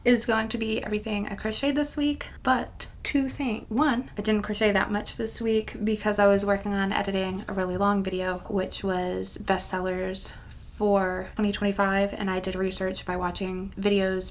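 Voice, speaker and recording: A woman's voice: medium pitch, a little hiss or hum. A woman of about thirty-one talking casually to her viewers in a rambling, self-deprecating way. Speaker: craft channel vlogger. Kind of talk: casual chatty vlog to camera.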